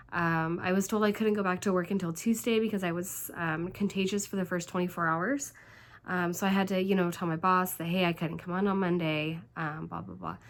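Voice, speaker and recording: A woman vlogger with a hoarse voice from laryngitis and a stuffed-up nose, chatting through a rambling story full of fillers. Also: fairly low pitch. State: congested, stuffed up. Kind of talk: casual storytelling vlog.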